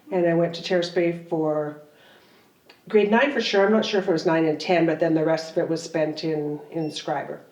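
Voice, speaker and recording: A woman's voice: sits low, darkish tone. A woman in her sixties from Ontario, Canada, recalling childhood and town life in an easygoing way. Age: 60s. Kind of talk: documentary interview. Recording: roomy sound from a distant mic.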